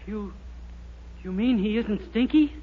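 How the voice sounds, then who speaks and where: A man's voice: high voice, measured pace. A man playing a naive, eager young store clerk with earnest, innocent comic timing in a 1940s radio sitcom. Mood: dismayed. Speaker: comic actor. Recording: muffled, very noisy 1940s radio recording.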